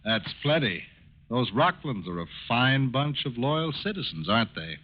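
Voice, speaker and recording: An actor playing a wry, approving official in a 1940s radio crime drama. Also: male voice, mid-range voice, very animated, moderate pace, lightly acted. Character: approving, wry male official reviewing evidence. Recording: noisy, muffled old radio recording.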